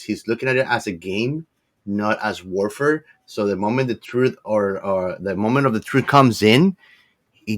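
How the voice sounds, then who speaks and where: A man speaks as a podcast panelist in a middle register. He explains his take casually but with clear emphasis. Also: medium pitch. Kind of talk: casual film discussion.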